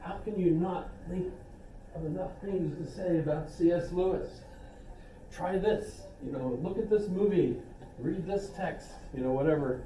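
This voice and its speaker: A man's voice: high-pitched, measured pace, warm tone, slight background noise. An older man teaching a philosophy class in a casual, rambling way, with asides and self-deprecating jokes. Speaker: philosophy instructor. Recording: echoey classroom recorded from a distance.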